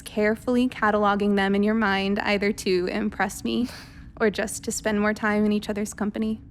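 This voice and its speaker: A woman's voice: fairly high pitch, a little hiss or hum, natural voice. A woman acting in a radio play as a wry, flirtatious ship's doctor who is tender and reassuring with her lover. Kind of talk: naturalistic radio drama dialogue.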